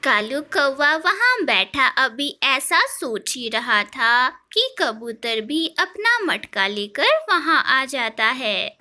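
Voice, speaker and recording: A woman narrates a children's story in a high, bright voice. Her delivery is unhurried and full of swooping intonation. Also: big pitch swings.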